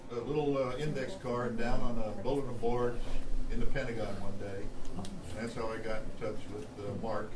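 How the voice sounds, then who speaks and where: An older man, a charter club member, reminisces informally to a group in a halting way with pauses. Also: medium pitch. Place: indoor club luncheon, recorded from afar. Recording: distant mic, echoey noisy room.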